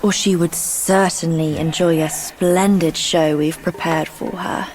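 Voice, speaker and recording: Slow, haughty spider demoness. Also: female voice, low voice, fairly even intonation, darkish tone, a little hiss or hum, low-quality recording. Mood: mock courtesy. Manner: poised, taunting, deliberate. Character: haughty, vengeful spider demoness, a young noble daughter. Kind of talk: video game cutscene acting.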